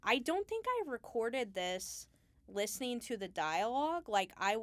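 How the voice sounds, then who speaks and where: A woman speaking casually as an interview guest, explaining her craft in a halting, thinking-aloud way. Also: a little high, sing-song, fairly bright tone, dry recording. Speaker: Foley artist, interview guest.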